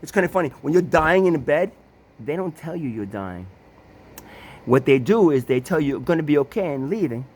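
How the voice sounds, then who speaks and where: Halting, stammering man telling a story. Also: fairly high pitch, animated, darkish tone. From Italy. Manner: halting, many restarts and repeated words, frequent swearing; sarcastic asides and rhetorical questions. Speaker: storyteller recounting his family. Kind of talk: casual personal storytelling.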